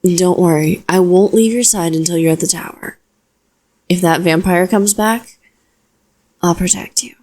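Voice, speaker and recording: A young witch voiced by a woman speaks straight to the listener in an audio roleplay. Her voice sits a little low for a woman. She explains things softly and reassuringly, protective yet modest about herself. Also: unhurried, pauses often. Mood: gentle, protective.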